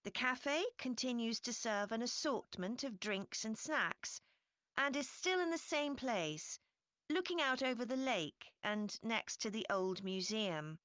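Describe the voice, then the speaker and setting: A woman's voice: a little high, expressive, a little slow, fairly bright tone, no echo. Female council chair, scripted public briefing, very varied intonation, close dry sound. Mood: informative. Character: brisk, upbeat female council committee chair giving briefing. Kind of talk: scripted public address for a listening test.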